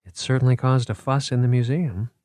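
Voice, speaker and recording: A man's voice: medium pitch, fairly even intonation, brisk, darkish tone, dry recording, voice pushed up, natural voice. A man voicing a cool, skeptical young woman. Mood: wary. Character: sharp, skeptical young female grad student. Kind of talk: audiobook character voice.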